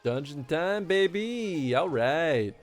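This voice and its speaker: A man's voice: fairly high pitch, animated, slow pace, soft background music. A man chatting casually on a live game stream, reacting playfully and laughing often.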